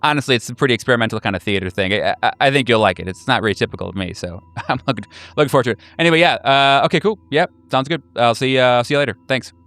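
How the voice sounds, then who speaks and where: A man's voice: medium pitch, expressive, very fast, hardly any pauses, fairly bright tone, dry recording. A man voicing an eager, scatterbrained night-owl friend who rambles and stammers in a tabletop game. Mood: sheepish, eager.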